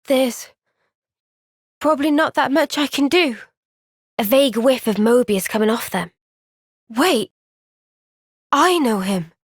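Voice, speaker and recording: A gentle, thoughtful young woman soldier from a video game cutscene. She speaks softly and earnestly, often sighing, in a fairly high voice. The sound is close and dry. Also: lively intonation, takes it slowly, long pauses, slightly rough, dry recording, exaggerated voice. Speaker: voice actor.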